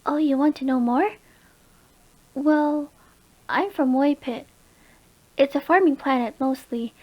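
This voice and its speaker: A woman's voice: sits high, slow pace, lo-fi sound. A woman voicing a shy, overwhelmed young alien from the countryside, hesitant and apologetic, in an audio roleplay. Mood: nervous, shy.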